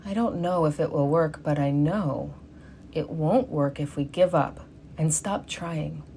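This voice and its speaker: A woman delivering a read sermon at a church service, earnest and measured. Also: low-pitched, mellow, slight background noise. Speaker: lay sermon speaker.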